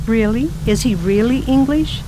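A woman acts out an intrepid lady traveller from 1910 in a radio play. She is genteel and composed, politely holding her ground. Clear background noise sits under the voice. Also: a little high, moderate pace, lightly acted. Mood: curious, courteous. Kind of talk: radio drama acting.

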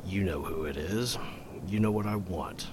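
Radio play villain: gloating, menacing male blackmailer played by a man, low voice, taunting delivery, faint rumble behind. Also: darkish tone, natural voice. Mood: menacing, mocking. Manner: taunting, deliberate. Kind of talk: radio drama acting.

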